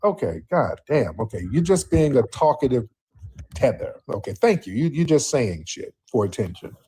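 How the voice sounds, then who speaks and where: A man's voice: mid-range voice, very animated, moderate pace, mellow, breathy edge. A man hosting a live stream, arguing heatedly and mocking a caller with repeated insults. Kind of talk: heated call-in argument.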